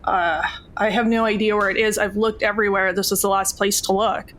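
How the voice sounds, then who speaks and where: A woman's voice: medium pitch, flat delivery, a little fast, fairly bright tone, natural voice. A woman voicing a spoiled rich man's daughter in a tabletop game, haughty, whiny and dismissive. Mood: whiny, huffy. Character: spoiled, snippy rich young daughter feigning confusion.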